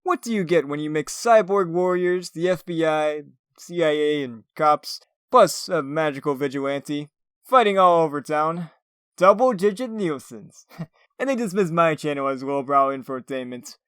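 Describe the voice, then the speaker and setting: A smooth, fairly high-voiced tabloid TV pundit played by a male actor in a comic book dub. He is flippant, showy and sardonic, in a dry close recording. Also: moderate pace, fairly bright tone, no room sound, lightly acted. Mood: smug, mocking. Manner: sardonic, theatrical. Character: flippant, showy tabloid television news commentator.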